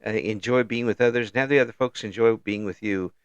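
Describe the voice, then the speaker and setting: A fairly low-pitched man hosts a casual online interview, stumbling over his words in friendly restarts and chuckling often. His voice comes through dull and muffled. The room sounds dry, with no echo. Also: clear, resonant tone. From Illinois, USA. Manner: halting, many restarts and chuckles. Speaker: interview show host.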